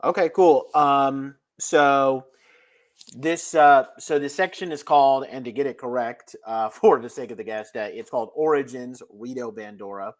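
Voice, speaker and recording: A man co-hosting a podcast, giving a casual, unscripted review in a halting way with many filler words. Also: mid-range voice. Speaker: podcast co-host leading a review.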